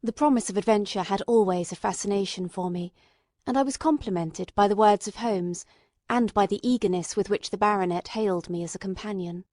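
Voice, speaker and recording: A woman's voice: mid-range voice, fairly quick, a little mellow, dry recording. A woman reading a classic detective story as a scripted audiobook narrator.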